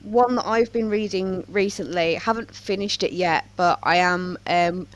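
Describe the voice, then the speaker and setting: A woman's voice: fairly low pitch, a little slow. A woman chatting casually as a podcast host about her interests. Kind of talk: casual book podcast chat.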